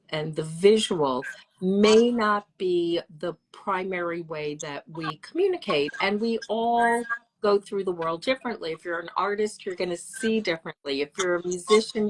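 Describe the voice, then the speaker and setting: Woman host, lowish voice, slow and careful, piles up words in lists. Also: low-quality recording. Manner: measured, repeats words while listing. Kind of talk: podcast interview introduction.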